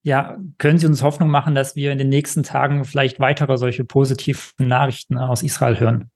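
Male podcast reporter, level monotone, measured and formal. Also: medium pitch. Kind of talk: interview questions.

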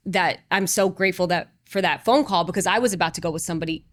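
Earnest woman, lowish voice, dry sound. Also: fast, dry recording. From moved from Singapore to Las Vegas as a child. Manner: earnest, reflective, many fillers and restarts. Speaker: real estate agent, guest. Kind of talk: casual interview conversation.

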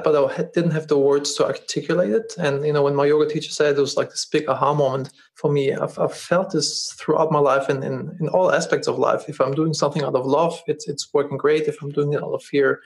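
A man with a German accent talks in an earnest, conversational way, circling back over his words as he finds them. His voice sits a touch high for a man and carries a noticeable gravelly fry. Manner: earnest, repeats words as he restarts. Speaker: podcast guest, business founder. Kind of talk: casual interview talk.

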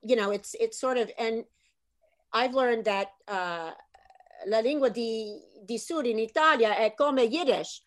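A middle-aged woman, an author, talking in a lively, chatty way and slipping into bits of Italian. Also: a little high, a little slow. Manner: animated, chatty, slips into Italian phrases. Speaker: author guest. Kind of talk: casual video chat.

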